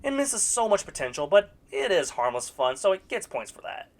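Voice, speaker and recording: Young adult reviewer, mid-pitched voice that swings widely, sarcastic with blunt verdicts; suits scripted critique. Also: very animated, normal speed. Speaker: video reviewer. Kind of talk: scripted movie review commentary.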